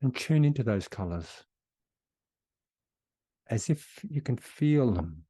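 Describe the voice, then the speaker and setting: A man leading a guided meditation in a soothing, unhurried way with long pauses between phrases. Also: medium pitch, animated, dark tone, slightly rough, dry recording.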